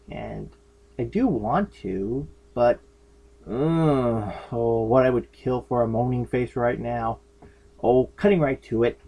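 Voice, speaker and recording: A man's voice: medium pitch, dark tone, husky, rough recording. A man hosting a fan fiction review, narrating in a chatty, opinionated way with enthusiastic, casual comic asides. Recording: music behind the voice. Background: background music.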